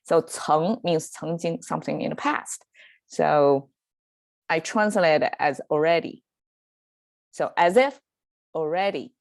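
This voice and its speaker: A woman with a Chinese accent speaks slowly in a low, unhurried voice, stopping often for fillers and restarts as she explains. The sound is dry and close, with no room around it. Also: gravelly, no echo. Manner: hesitant, lots of fillers and restarts, laughs easily. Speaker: language teacher and show host. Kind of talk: casual solo language lesson. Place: home in Southern California.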